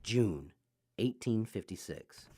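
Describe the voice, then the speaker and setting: A low-key scripted announcer reading out dates between letters in a radio drama. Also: expressive, slow pace. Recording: very noisy, clicking audio.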